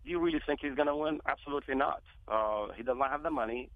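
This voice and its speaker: A man's voice: mid-range voice, fast talker, dry recording. Man from Iraq on a tinny phone line. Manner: earnest, repeats himself with many fillers. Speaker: guest speaker. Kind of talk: political talk radio interview.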